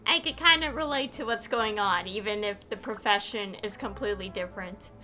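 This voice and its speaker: A woman's voice: mid-range voice, fairly quick, pushed and bright, faint music behind. A woman game streamer chatting casually on a livestream.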